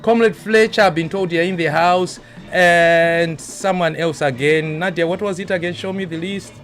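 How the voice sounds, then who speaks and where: A man's voice: high-pitched, steady tone, normal speed, fairly bright tone, soft background music. A man hosting a live online show, chatty and teasing as he greets viewers by name. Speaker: show host.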